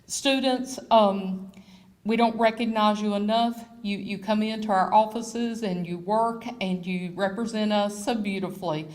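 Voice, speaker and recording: A woman with a mid-range voice makes announcements at a college event. She thanks the students gratefully and pauses on small fillers as she goes. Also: medium pitch, fairly even intonation, darkish tone. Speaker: event host.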